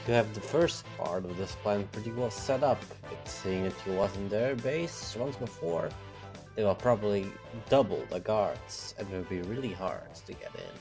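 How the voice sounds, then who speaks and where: A man's voice: on the low side, lively intonation, normal speed. A man voicing a cool-headed, cautious strategist in an amateur comic dub. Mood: cautious, measured. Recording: clear music behind the voice.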